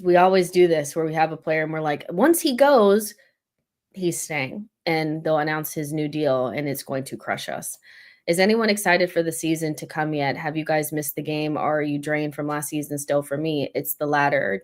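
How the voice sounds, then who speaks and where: A woman's voice: low voice, moderate pace. A woman hosting a casual football chat, blunt and pessimistic. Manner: blunt, pessimistic fan venting. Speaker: host.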